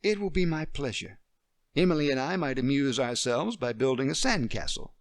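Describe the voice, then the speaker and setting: A man's voice: medium pitch, expressive, moderate pace, dry recording, studio quality, voice pushed up, close to an everyday voice. A man voicing a kind, light-hearted young woman. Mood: gentle. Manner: gentle, light-hearted, plays along with a child's game. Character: kind, playful young woman, friendly and calm. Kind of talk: storybook reading.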